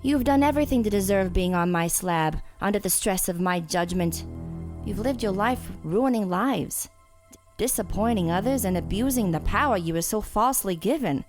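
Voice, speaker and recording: A woman voicing a calm, murderous female gardener who speaks softly and without hurry, in an audio drama with music behind her. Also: medium pitch, lightly acted. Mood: cold menace. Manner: soft, unhurried, menacing.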